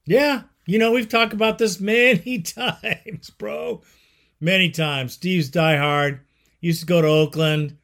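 A man's voice: sits high, slow, creaky, fairly bright tone. Laid-back sports show host, man. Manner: laid-back, says "bro" and "man" a lot. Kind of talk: casual call-in show chat.

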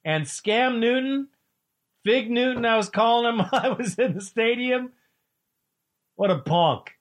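A man hosting a sports talk radio show, excitable and preachy, trash-talking like a devoted fan. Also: extremely high pitch, measured pace.

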